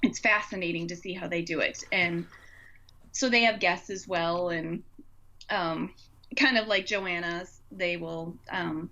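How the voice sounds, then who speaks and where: A woman's voice: fairly low pitch, steady tone, unhurried, bright, forceful tone, highs cut off. A woman chatting informally and enthusiastically, recommending things in casual conversation.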